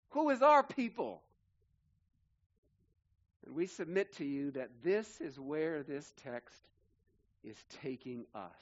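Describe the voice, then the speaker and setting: A man in his forties preaches as a pastor, earnest and emphatic, stacking up phrase after phrase. His voice sits a little high for a man. The recording sounds slightly muffled and lo-fi. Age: 40s. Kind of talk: sermon.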